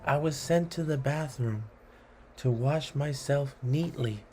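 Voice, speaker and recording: A man reading funny children's rhymes aloud in a deliberate, theatrical storytelling way. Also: medium pitch, dark tone, a little hiss or hum. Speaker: read-along host.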